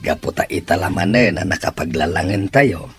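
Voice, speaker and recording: An actor playing a kindly middle-aged father in a radio drama, speaking gently, with faint music behind. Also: sits low, moderate pace, close to an everyday voice. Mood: gentle.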